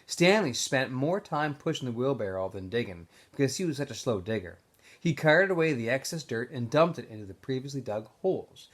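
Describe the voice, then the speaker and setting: Male story reader, classroom read-aloud feel, animated and emphatic delivery. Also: mid-range voice. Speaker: teacher reading aloud to students.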